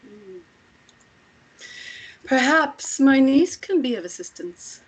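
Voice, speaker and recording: A woman playing an older, self-assured commune matriarch in a script table read, speaking in a measured, deliberate way with pointed rhetorical questions. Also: high voice, lively intonation, poor audio. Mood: teasing.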